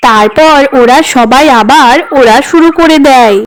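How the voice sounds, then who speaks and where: A woman's voice: high-pitched, a little slow. A woman narrating a scripted children's story.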